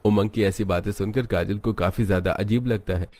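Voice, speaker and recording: A man's voice: on the low side, fairly even intonation, fairly quick, a little mellow, slight background noise. A man narrating a scripted story as its storyteller. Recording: music bed under voice. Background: background music.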